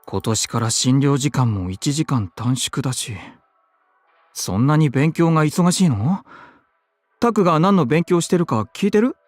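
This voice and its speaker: A man voices an effeminate, fussy young man in a Japanese visual novel. He chatters quickly in expressive, feminine phrasing and gets excitable. The recording is dry and close. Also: a little high, dry recording, faint music behind, natural voice. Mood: concerned, chatty. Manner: feminine speech, expressive, nagging.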